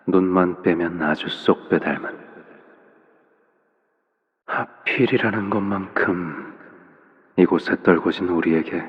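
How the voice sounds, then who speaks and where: A man playing a calm, cryptic, quietly menacing fatherly game character, speaking softly in measured, unhurried phrases. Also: sits low, highs cut off. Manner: soft-spoken, unhurried, measured, pausing between phrases. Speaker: video game character voice actor. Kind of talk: game character voice lines, slow theatrical monologue. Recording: long reverb on the voice.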